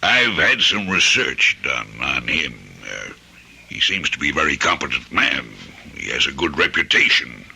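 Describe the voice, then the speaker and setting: A male actor playing a stern, suspicious corporate boss, probing and quietly menacing, in an old-time radio drama. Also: a bass voice, big pitch swings, creaky, breathy edge, heavily acted. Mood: quietly threatening. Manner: deliberate, probing.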